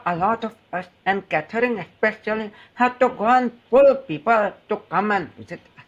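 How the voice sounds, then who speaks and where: A man answering interview questions in an earnest, hopeful way. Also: high voice. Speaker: guest. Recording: dull lo-fi sound.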